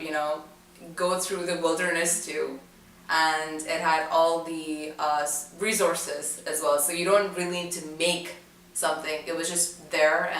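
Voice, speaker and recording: A woman's voice: low voice, fairly bright tone, reverberant, distant mic, a little hiss or hum, poor audio. A young woman from Dubai, a student, chatting about an event she took part in, with many fillers and restarts. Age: young adult. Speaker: student interviewee. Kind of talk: casual recollection to camera.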